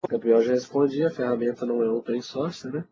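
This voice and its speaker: A man's voice: medium pitch, fairly quick. Congested Brazilian man, noisy lo-fi webinar. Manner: informal, conversational, frequently checks in with listeners. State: congested with a cold. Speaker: IT instructor answering webinar questions. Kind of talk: casual live webinar Q&A. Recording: dull lo-fi webinar audio, loud noise.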